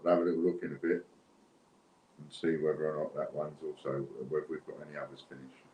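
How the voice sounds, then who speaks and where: A man's voice: very deep voice, steady tone, fast, darkish tone. A middle-aged British man hosting an online giveaway stream, chatting casually to viewers in a rambling, unscripted way. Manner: rambling, unscripted, thinking aloud. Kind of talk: casual livestream chat to viewers. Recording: roomy echo with faint background noise.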